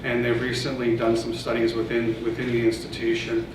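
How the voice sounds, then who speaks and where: A man's voice: mid-range voice, completely flat delivery, a little fast, distant mic. A man with a Canadian accent giving a conference presentation, hesitant, with many fillers and restarts. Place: large conference room. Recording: echoey hall, voice from off-mic, noisy.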